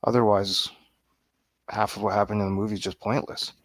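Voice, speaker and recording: A man's voice: on the low side, flat delivery, brisk, no room sound, clean studio sound. A man giving matter-of-fact, blunt opinions in a casual film chat. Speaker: guest film reviewer.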